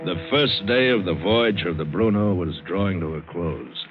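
A man's voice: sits low, expressive, moderate pace, loud music behind the voice. An American actor playing a tough, wry seaman who tells his adventure in vintage radio drama style. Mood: wary, brooding. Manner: hard-boiled, wry, dramatic. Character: tough, wary, wisecracking seaman and adventurer telling his tale. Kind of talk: 1950s radio drama acting with first-person narration. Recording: scratchy, hissy narrowband old radio recording.

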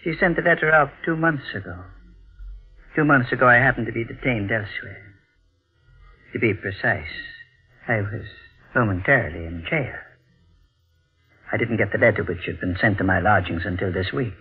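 An actor playing a sly, genteel elderly blackmailer in a 1940s radio mystery, with a mannered, mock-courteous way of talking. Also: male voice, fairly low pitch, normal speed, pauses often, husky. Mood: coolly mercenary. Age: older. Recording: muffled vintage radio broadcast, faint music.

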